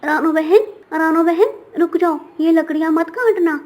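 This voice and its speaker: A kindly male parrot from a children's cartoon, acted by a woman. He sounds alarmed and pleading, with a very high voice. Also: normal speed, darkish tone. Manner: urgent, pleading.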